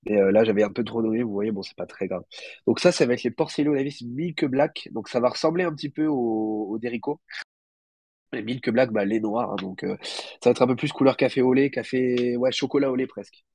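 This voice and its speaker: Un homme légèrement enrhumé qui explique l'élevage de cloportes en direct, sur un ton décontracté, avec beaucoup d'hésitations et de mots cherchés. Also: male voice, mid-range voice, brisk, a little mellow, no room sound. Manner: hesitant, many fillers, searches for words. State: slightly congested from a cold. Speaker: guest isopod breeder. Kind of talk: casual livestream explanation.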